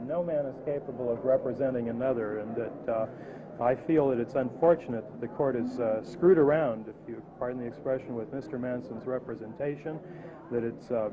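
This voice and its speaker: A man with an American accent, a defense attorney answering press questions in a halting, informal way. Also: medium pitch. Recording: tinny archival newsreel, crowd behind.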